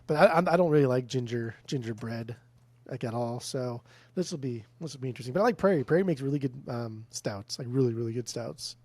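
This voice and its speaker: A man's voice: mid-range voice, lively intonation, quick pace, a little mellow, no room sound. A man with a stuffed-up nose co-hosting a casual podcast, rambling and swearing freely. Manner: rambling, swears a lot, mock-angry joking. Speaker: podcast co-host and record store owner. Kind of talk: casual chat. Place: room at home. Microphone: desk microphone on a mixer.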